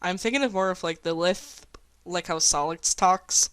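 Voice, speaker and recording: A woman's voice: fairly low pitch, normal speed, a bit bright, smooth, no room sound. A woman chatting casually among fellow hobby voice actors. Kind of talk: casual chat.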